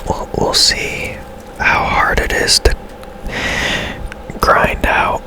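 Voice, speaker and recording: A man giving casual, hushed ASMR commentary over a video game in a breathy whisper, musing in an unhurried way. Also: poor audio. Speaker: game streamer, host.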